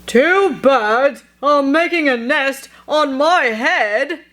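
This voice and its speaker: A woman's voice: high-pitched, expressive, takes it slowly, a bit bright, slight background noise, full character voice. A woman reading a children's picture book, voicing an anxious, exasperated elephant in a stammering, dramatic way. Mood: bewildered. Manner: stammering, exclaiming, dramatically dismayed. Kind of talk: expressive children's read-aloud.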